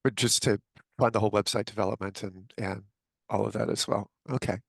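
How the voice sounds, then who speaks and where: A man hosting an online interview, asking relaxed questions padded with fillers. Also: on the low side, brisk, vocal fry, no echo. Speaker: interview host. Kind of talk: conversational interview.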